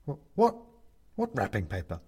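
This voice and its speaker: A man's voice: on the low side, huge pitch swings, moderate pace, warm tone, slightly rough, exaggerated voice. A man voicing a selfish, panicky storybook character, shrunken and flustered, who stammers and hesitates. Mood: panicked.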